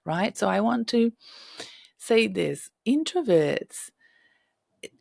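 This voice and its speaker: A middle-aged woman hosting a career-advice podcast, hoarse and husky from illness, speaking directly and coaching listeners with frequent "okay?" checks. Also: mid-range voice, sing-song, slow pace, a little mellow, no echo, clean studio sound. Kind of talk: solo podcast advice talk. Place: home room.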